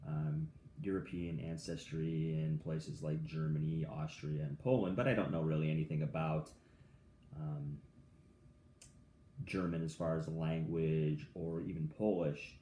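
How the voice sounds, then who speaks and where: An American man with a very low voice talks through his thoughts slowly and hesitantly, stopping often with ums and restarting his sentences. He sounds like he is in an echoey room, with faint ambient noise behind him. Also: steady tone, mellow. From United States. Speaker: vlogger. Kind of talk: reflective solo monologue.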